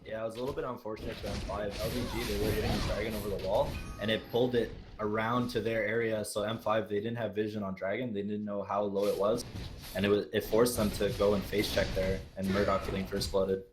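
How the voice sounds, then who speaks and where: A man co-commentating a live esports match with quick analysis that turns hyped on big plays. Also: on the low side, flat delivery, over music. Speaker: esports caster. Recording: noisy live stream mix.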